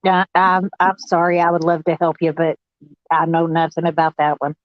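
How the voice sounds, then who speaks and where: A woman's voice: deep voice, flat delivery, normal speed, dry recording, muffled recording. A woman hosting a casual discussion show, talking informally and reading out comments.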